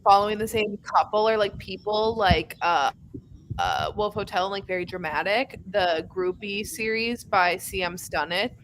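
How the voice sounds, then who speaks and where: A woman's voice: medium pitch, lively intonation, moderate pace, fairly bright tone, slight background noise, low-quality recording. A woman co-hosting a casual book chat, talking in loose phrases peppered with "like". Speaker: co-host of a book club live show.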